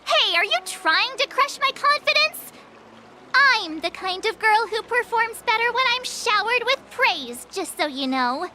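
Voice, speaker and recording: A woman voicing a cheerful, eager teenage girl student in an anime-style game, girlish and expressive. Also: very high-pitched, a little slow, full character voice. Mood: pouting, indignant.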